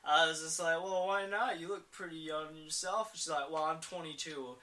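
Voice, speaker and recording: A man's voice: a little high, steady tone, normal speed, sharp tone, recorded from a distance. A young man in his late teens, co-hosting a podcast and telling a rambling story while quoting people. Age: young adult. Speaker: podcast co-host. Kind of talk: casual chat, storytelling.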